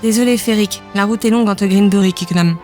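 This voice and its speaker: A woman's voice: mid-range voice, fast talker, dark tone, close to an everyday voice. A woman playing an earnest, articulate and insistent council representative in an audio drama. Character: earnest, indignant woman council representative, passionate about monsters. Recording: music bed behind the voice.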